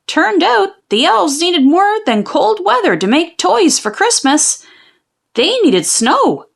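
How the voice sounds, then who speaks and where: A woman narrating a children's storybook in an expressive, folksy storytelling manner. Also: high-pitched, creaky. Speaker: children's book read-aloud narrator. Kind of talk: animated storybook narration of a folksy tall tale.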